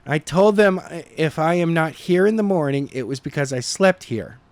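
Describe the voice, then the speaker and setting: A man's voice: fairly high pitch, animated, normal speed, pitched above the performer's own voice. A man reading the part of a casual, unbothered teenage boy in an audiobook story. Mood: nonchalant. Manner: nonchalant. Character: laid-back teenage boyfriend, casual and unbothered.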